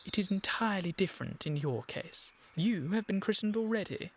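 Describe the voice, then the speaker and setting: An actor playing an earnest, exasperated young English gentleman in a comic period radio play, with a fussy, reproachful manner. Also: high voice, expressive, moderate pace. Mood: exasperated insistence. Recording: clear background ambient noise.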